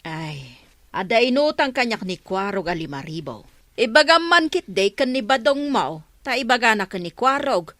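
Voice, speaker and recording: Gossipy, complaining villager, dry sound. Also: female voice, fairly high pitch, very animated, moderate pace, a bit bright, no echo. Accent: Ilocano. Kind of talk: comedy radio drama acting.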